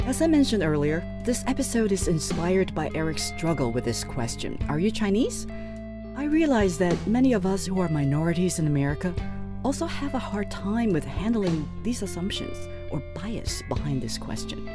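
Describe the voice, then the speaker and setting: A woman hosting a podcast, narrating and asking measured, composed questions. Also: on the low side, big pitch swings. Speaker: podcast host. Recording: podcast voice over guitar music.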